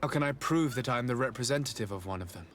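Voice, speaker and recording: A man's voice: mid-range voice, animated, racing, a little hiss or hum, natural voice. Male actor, young noble diplomat, formal, composed, polite, mid-range voice, video game cutscene.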